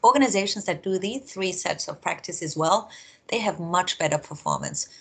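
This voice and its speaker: A woman's voice: on the low side, a bit bright, breathy edge. A middle-aged woman with an Austrian German accent, a research vice president giving an upbeat, explanatory prepared talk from her home office.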